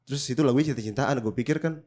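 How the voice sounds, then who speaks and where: A man's voice: medium pitch, very fast, a little mellow. A man with a Jakarta Indonesian accent and a slightly nasal, stuffed-up voice, co-hosting a podcast in slangy, joking, casual chat. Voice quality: congested, slightly nasal from a recent cold. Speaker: podcast co-host.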